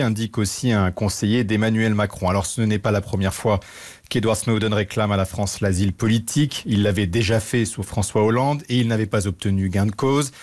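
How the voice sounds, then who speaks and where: A man giving a fluent, measured radio news report as a correspondent. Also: fairly low pitch, darkish tone. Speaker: political correspondent.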